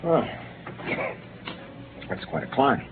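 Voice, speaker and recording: An actor playing a calm, steady spaceship captain who speaks in a measured, commanding way in a 1950s radio drama. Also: male voice, medium pitch, background noise. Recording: lo-fi old radio broadcast.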